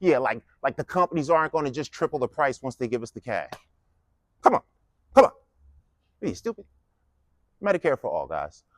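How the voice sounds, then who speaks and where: A man's voice: medium pitch, sing-song, fairly quick, long pauses, no echo. A man in his fifties delivering a casual, mocking political monologue with lots of rhetorical questions. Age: 50s. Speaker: vlogger giving a political speech.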